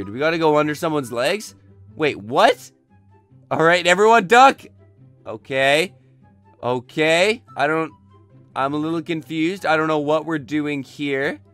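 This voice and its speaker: Young man, clear higher-pitched gaming commentary. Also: fairly bright tone, no echo. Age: young adult. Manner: chatty, repeats short exclamations. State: sick, says he is losing his voice. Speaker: gaming video host. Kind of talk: excitable casual game commentary. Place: indoor desk setup.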